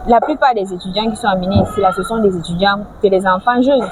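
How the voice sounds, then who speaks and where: A woman's voice: medium pitch, quick pace. A woman from French-speaking Africa giving a casual advice vlog, lecturing with rhetorical questions and frequent restarts. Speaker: vlogger. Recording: clear background noise, vehicles.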